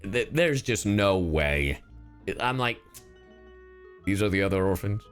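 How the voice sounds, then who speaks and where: A man's voice: fairly low pitch, expressive, unhurried, clear, resonant tone, soft background music. A man streaming a video game, chatting casually with viewers in an irreverent, joking, slangy and sweary way. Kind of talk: casual livestream chat and game commentary.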